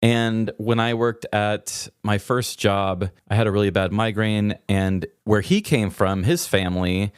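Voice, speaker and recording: A man's voice: fairly low pitch, a little slow, few pauses, smooth, no echo, studio quality. A man co-hosting a podcast in a casual, comedic way, telling stories and reading articles in a rambling, conversational manner. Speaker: podcast co-host.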